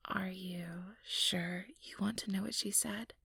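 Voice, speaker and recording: A woman playing an ambitious, sugary, manipulative vampire in an ASMR roleplay, speaking in a breathy, whispery voice with coaxing, condescending sweetness. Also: mid-range voice, fairly even intonation, unhurried, bright, forceful tone, no echo, studio quality, exaggerated voice. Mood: falsely sympathetic. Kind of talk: ASMR roleplay, soft whispered monologue to listener.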